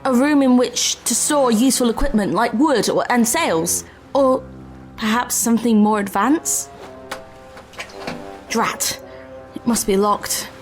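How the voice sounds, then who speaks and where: A woman's voice: a little high, measured pace, pauses often, soft background music, lightly acted. A woman playing a curious, brave, level-headed adventurer in an audio drama, speaking in a precise, articulate way. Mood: intrigued.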